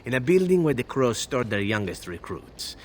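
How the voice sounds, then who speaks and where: An actor playing a charming, roguish assassin from abroad in a video game, with teasing, florid and theatrical phrasing. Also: male voice, mid-range voice, very animated, moderate pace, slight background noise, close to an everyday voice. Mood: playful nostalgia. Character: roguish, charming male foreign assassin, wistful about home. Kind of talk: video game character acting.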